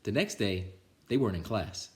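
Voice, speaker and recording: A man's voice: fairly low pitch, expressive, normal speed. A man reading a children's picture book aloud as a storytime reader, expressively, with small stumbles.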